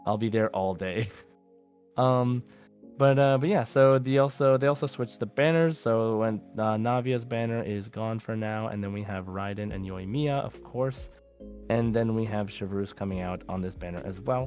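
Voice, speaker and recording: A man's voice: on the low side, moderate pace, darkish tone, faint music behind. A man hosting a casual gaming podcast, talking in an enthusiastic, rambling way. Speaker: podcast host.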